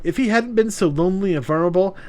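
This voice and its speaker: A woman's voice: mid-range voice, fast talker, darkish tone, voice pushed up, close to an everyday voice. A man voicing a proper, smug young wife who speaks in a sugary, politely gloating way.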